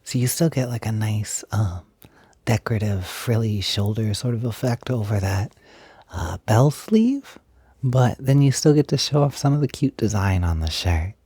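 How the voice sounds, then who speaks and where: Male actor as gentle young roommate, low mellow voice, breathy, hesitant, reassuring, intimate audio roleplay. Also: expressive, moderate pace, dry recording. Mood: helpful, thoughtful. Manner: soft, hesitant, giggly, reassuring. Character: gentle, enthusiastic young male roommate who loves frilly clothes.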